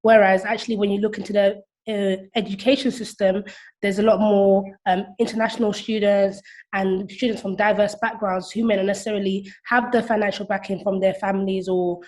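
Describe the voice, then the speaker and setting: A young woman in her twenties answers interview questions on a video call. Her voice is medium in pitch with very little melodic movement. She explains things as a founder being interviewed. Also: little pitch movement, brisk, a little mellow, rough recording. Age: 20s. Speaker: interview guest, platform founder.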